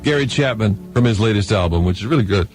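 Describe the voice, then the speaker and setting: A man's voice: fairly low pitch, animated, fast. A middle-aged American man hosting a morning radio show with folksy, playful DJ patter and casual banter. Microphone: studio broadcast microphone. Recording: 1990s radio broadcast, music bleeding in. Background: music.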